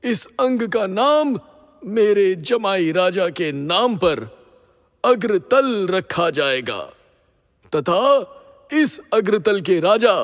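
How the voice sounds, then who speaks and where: A man's voice: high voice, exaggerated voice. An actor playing a gracious, fatherly serpent king in a mythological audio drama, speaking formally and ceremoniously. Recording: long reverberant hall echo.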